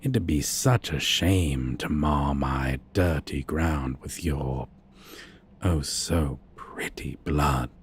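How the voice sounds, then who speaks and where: Sadistic male vampire for ASMR roleplay, acted by a man: extremely low, seductive, menacing, very drawn-out delivery. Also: a little hiss or hum, heavily acted. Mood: cruel, gloating. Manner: slow, menacing, breathy taunting.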